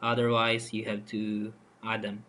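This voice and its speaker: A man giving a halting, unscripted, step-by-step software demonstration as a voice-over for a screen recording. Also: lowish voice. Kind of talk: step-by-step screen tutorial narration. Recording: noticeable steady background noise.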